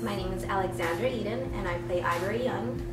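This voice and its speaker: Mid-pitched woman in an echoey room. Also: quick pace, distant mic. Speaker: voice actor, interview guest. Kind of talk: casual group interview chat. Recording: echoey room off mic, music behind. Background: music.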